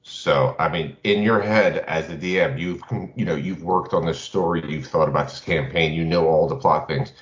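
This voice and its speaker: Male podcast co-host, extremely low voice, conversational, recorded with noticeable room echo. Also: normal speed, few pauses. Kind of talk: casual panel discussion on tabletop role-playing. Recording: roomy, dull band-limited audio.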